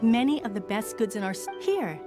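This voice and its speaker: A woman's voice: medium pitch, animated, a little fast, lightly acted. An actress playing a friendly, polite female shop assistant in an anime video game. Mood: helpful. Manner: polite, helpful. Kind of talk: English anime game voice acting. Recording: clear game music behind.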